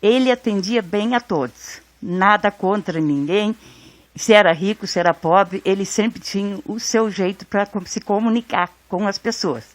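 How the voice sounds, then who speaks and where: Uma mulher do sul do Brasil relembrando o irmão numa entrevista, falando com calma e carinho. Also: female voice, mid-range voice, a little slow, no room sound. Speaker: interviewee, sister. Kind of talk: interview recollection.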